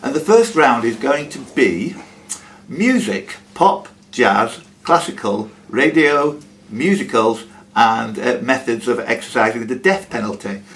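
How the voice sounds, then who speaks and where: A man's voice: medium pitch, animated, a little slow, a bit bright. A man hosting a live quiz, reading out questions and adding chatty, jokey asides between them. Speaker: quiz host. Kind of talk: live pub-style quiz hosting, reading questions aloud with ad-libbed banter. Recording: clear background noise, live room.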